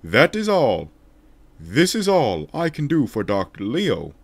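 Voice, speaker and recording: A man's voice: medium pitch, huge pitch swings, takes it slowly, creaky, no echo, full character voice. A man voicing a solemn, remorseful king in a declamatory, dramatic way for a video game fan dub.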